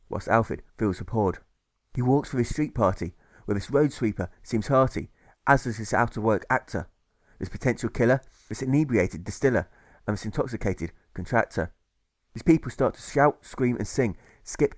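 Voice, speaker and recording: A male narrator with a mid-pitched voice reads rhyming prose as an audiobook. His phrasing is rhythmic and measured, stressing the rhymes, recorded close and dry. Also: a little mellow, dry recording. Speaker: author reading own audiobook.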